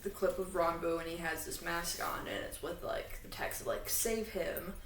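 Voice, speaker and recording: A woman's voice: on the low side, fairly even intonation, moderate pace. A woman co-hosting a casual podcast, explaining things in an informal, fannish way with trailing asides. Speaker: podcast co-host. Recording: echoey room, distant mic, faint noise.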